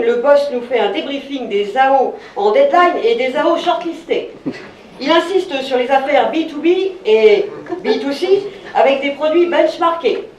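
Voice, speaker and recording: A woman's voice: sits high, darkish tone. A woman delivering a playful monologue packed with office jargon in a breezy manner. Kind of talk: comic sketch monologue full of corporate jargon. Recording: echoey and distant with faint noise.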